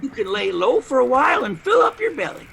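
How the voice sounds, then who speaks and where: An actor playing a wily, good-hearted homeless character in an audio drama, with a gravelly voice and a folksy, playful, ungrammatical way of talking. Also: sits high, huge pitch swings, a little fast, a bit bright, a little hiss or hum, exaggerated voice. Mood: friendly, reassuring. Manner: folksy, playful, ungrammatical drawl with mangled words.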